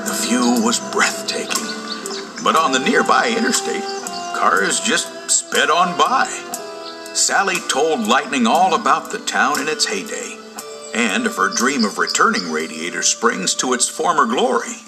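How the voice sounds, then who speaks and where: Expressive male storybook narrator, lively pitch. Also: a little slow, music in the background. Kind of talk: scripted children's storybook narration. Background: loud musical score.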